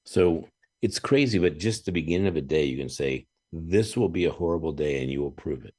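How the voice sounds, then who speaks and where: A man hosting a podcast, chatting casually and telling animated stories with chuckles and frequent throat-clearing. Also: a bass voice, brisk. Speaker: podcast host. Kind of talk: casual interview chat.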